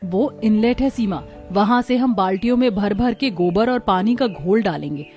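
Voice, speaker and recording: A woman's voice: medium pitch, moderate pace, a little mellow, faint music behind, lightly acted. A woman voicing a confident eldest daughter-in-law in a village cartoon, explaining things in an assured, instructive way. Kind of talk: scripted cartoon dialogue.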